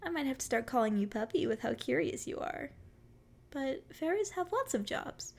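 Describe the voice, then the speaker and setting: Woman voicing a high-pitched, sweet forest fairy. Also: sing-song, normal speed, creaky, full character voice. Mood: chatty, friendly.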